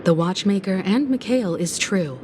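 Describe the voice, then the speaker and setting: A woman's voice: medium pitch, animated, normal speed, background noise, natural voice. An actress voicing a poised adult woman in a game cutscene who asks pointed questions. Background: game score music.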